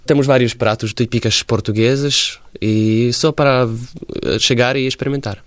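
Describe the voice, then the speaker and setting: A man in his forties from Slovakia, speaking Portuguese with a Slovak accent as a radio interview guest, pausing often to search for words. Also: medium pitch, fairly even intonation, no room sound. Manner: halting, searching for words in a second language. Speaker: interview guest, former footballer.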